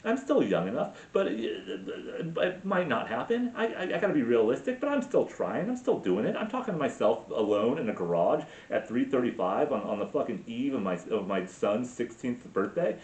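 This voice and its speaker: A man's voice: mid-range voice, sing-song, normal speed, few pauses, darkish tone. A man in his forties rambling alone in a garage, profane and self-mocking, in a solo monologue. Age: 40s. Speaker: podcast host. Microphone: camera mic. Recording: roomy, dull lo-fi sound.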